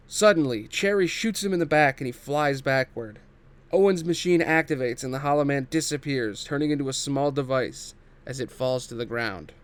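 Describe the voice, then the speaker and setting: A man reads scripted stage directions as the narrator of a table read. His voice sits at a middle pitch, and he describes scenes and action in the present tense. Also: moderate pace, smooth.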